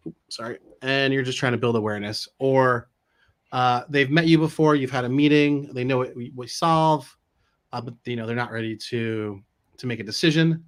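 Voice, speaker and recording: A man's voice: mid-range voice, normal speed. A middle-aged man talks casually as co-host of a business live stream. He speaks informally and keeps tossing in little check-in questions. He is on a video call. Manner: informal, peppered with rhetorical check-ins. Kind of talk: casual conversational advice.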